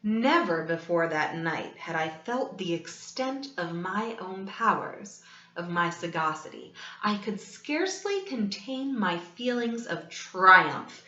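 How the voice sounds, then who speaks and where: Woman acting a theatrical mad murderer, animated, boastful. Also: medium pitch. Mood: triumphant, sly. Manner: theatrical, deliberate pauses, repeats words with relish. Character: mad narrator boasting of cunning murder plot, gleeful, obsessive. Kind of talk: dramatic gothic storybook reading. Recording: slightly dull, roomy sound.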